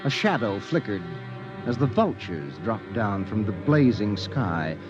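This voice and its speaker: A man narrating a 1950s radio drama in a measured storytelling manner, heard through a dull old radio transcription. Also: lowish voice, sing-song, over music.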